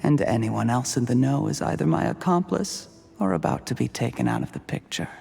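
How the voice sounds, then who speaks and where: An actor playing a cold, calculating official turned poisoner in a video game, gloating in measured, mockingly polite monologue. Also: male voice, medium pitch, very animated, creaky, darkish tone, faint music behind. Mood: hushed, menacing. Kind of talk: scripted video game voice acting.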